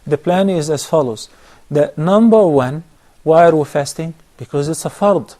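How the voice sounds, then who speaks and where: A man, a sheikh on a call-in show, giving measured, step-by-step religious advice. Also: on the high side, mellow. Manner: measured, instructive, lists steps. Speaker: sheikh answering viewer questions.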